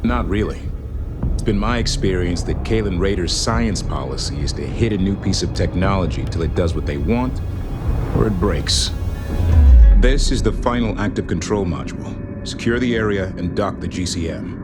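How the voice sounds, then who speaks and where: An actor playing a composed military intelligence officer in a game, giving clipped, matter-of-fact mission briefings with authority. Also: male voice, low-pitched, lively intonation, normal speed. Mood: guarded. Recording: music behind the voice.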